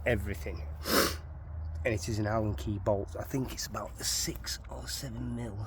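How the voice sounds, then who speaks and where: A man's voice: lowish voice, fairly even intonation, normal speed, background noise. A man with a Sheffield accent, walking through a van repair in a chatty, rambling way, repeating his words as he goes, filmed on a handheld phone outdoors. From Sheffield, England. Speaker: DIY van owner. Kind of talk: casual how-to walkthrough.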